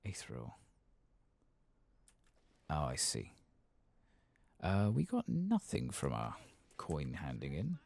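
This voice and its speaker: A man softly murmuring low-key ASMR game commentary to himself, breathy and gravelly, often slipping into a whisper. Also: huge pitch swings, slow pace, long pauses, no room sound. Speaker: streamer playing a video game.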